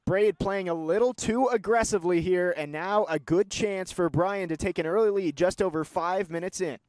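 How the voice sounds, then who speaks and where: A young man calling live sports play-by-play, moving between measured description and excited calls. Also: sits high, no echo. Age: young adult.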